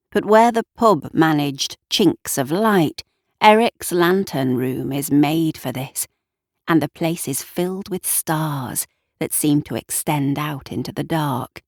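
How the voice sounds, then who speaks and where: A woman narrating a dramatic story in a hushed, lyrical, lingering way. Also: low voice, animated, measured pace, no room sound, studio quality.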